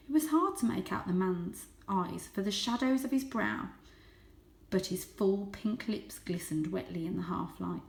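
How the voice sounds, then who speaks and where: Expressive woman storyteller in an echoey room. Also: medium pitch, gravelly. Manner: expressive, hushed for suspense, lingering on key words. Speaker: storyteller reading a children's book. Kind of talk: dramatic storybook narration. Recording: roomy, echoey sound.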